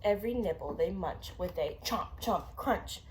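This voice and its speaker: A woman reading a children's story aloud with dramatic, drawn-out emphasis. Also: on the low side, animated, darkish tone, a little hiss or hum. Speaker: storybook reader. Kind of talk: expressive children's read-aloud.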